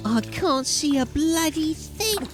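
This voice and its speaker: Woman playing a high-pitched, nervous underling. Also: expressive, slow pace, music in the background. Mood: frightened. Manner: anxious, plaintive, grumbling. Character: nervous, superstitious, whining male underling called Gunny. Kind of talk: fantasy audio drama acting.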